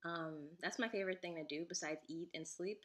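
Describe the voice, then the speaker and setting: A woman's voice: lowish voice, fairly quick, a bit bright. A young woman whose first language is Igbo, chatting casually to camera with frequent giggles and fillers. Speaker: vlogger.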